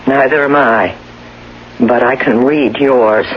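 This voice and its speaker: An actress in a radio drama playing a bitter, suspicious, despairing invalid wife with a terse, accusing manner. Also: female voice, rumbling low, fairly even intonation, slow. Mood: cold, suspicious. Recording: dull, hissy old radio broadcast.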